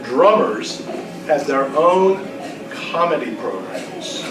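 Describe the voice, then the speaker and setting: A man's voice: fairly high pitch, slow, over music. A man acting as quiz master at a club luncheon, reading out questions with joking, teasing banter. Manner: jocular, teasing. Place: club luncheon in a large room. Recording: distant mic in echoey, noisy crowded room. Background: lively crowd chatter and laughter.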